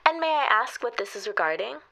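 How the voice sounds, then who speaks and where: Chatty small-talk receptionist, familiar and folksy, played by a woman; bright tone. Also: on the high side, very animated, fast talker, creaky, full character voice, rough recording. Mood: polite. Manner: familiar, calls people honey and girl. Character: chatty friendly female receptionist, nosy and folksy. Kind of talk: comedy sketch acting.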